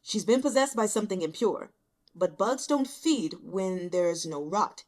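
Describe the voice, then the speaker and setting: A woman's voice: mid-range voice, animated, a little slow, a little mellow, lightly acted. Woman as a grumpy, scornful talking cat. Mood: gruff explaining. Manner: curt, scornful.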